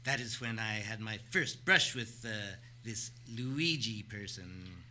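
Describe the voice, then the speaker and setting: An actor in an old-time radio play, playing a flustered, formal older gentleman who speaks hesitantly and fussily. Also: lowish voice, lively intonation, slow, slight background noise, heavily acted. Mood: formal recounting. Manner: hesitant, formal, fussy speech. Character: flustered, proper older bearded gentleman, pleading and pedantic.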